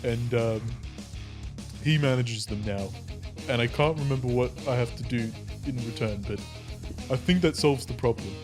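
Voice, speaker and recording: Male tabletop player, medium pitch, casual improvised chat, faint music behind. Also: moderate pace.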